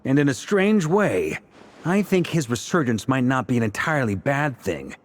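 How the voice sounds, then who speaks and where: A man playing a confident, laid-back military leader in a video game, speaking scripted lines in a measured, reassuring and deliberate way. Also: medium pitch, very animated, faint music behind, natural voice. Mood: thoughtful.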